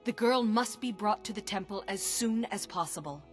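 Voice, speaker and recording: A woman's voice: mid-range voice, animated, moderate pace, music in the background, close to an everyday voice. A woman playing a stern, haughty priestess in a fantasy video game, speaking formally and with command. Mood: stern, imperious.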